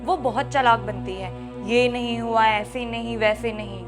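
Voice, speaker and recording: A scheming young daughter-in-law, played by a woman, sly and boastful, in a web drama. Her voice is high and bright. Clear music runs behind every line. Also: steady tone, unhurried, lightly acted. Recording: background music under the voice.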